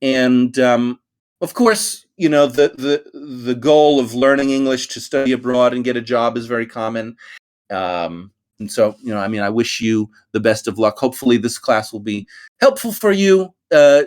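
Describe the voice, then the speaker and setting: A man's voice: mid-range voice, normal speed. Middle-aged New York man, English teacher hosting a voice-chat class; friendly, meandering, lots of ums and restarts. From United States. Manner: friendly, rambling with many fillers and restarts. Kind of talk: hosting an online conversation class. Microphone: online voice chat.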